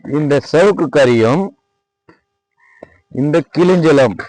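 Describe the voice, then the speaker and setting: A man with a Tamil Nadu accent gives an informal product tour and sales pitch, repeating himself as he demonstrates and politely addresses the viewer. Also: expressive, slow pace, a little mellow, no room sound, faint music behind, poor audio. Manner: repetitive, demonstrative, addressing viewer respectfully as sir. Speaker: lime manufacturer presenting own workshop. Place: at a lime kiln worksite.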